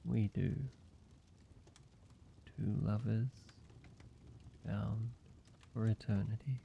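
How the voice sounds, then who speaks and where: A man playing a gentle, devoted male vampire lover in an intimate audio roleplay, speaking earnestly and haltingly to the listener. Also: fairly low pitch, flat delivery, creaky, exaggerated voice. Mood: tender, devoted. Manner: halting, hushed pleading, soft reassurance with pauses. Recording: dull sound, faint background noise.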